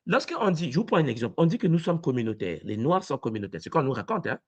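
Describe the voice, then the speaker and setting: Guy, fairly high voice, swings up and down a lot, keeps firing questions. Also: fast talker, muffled recording. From Congo. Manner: animated, pressing rhetorical questions. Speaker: university lecturer. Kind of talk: debate-style discussion.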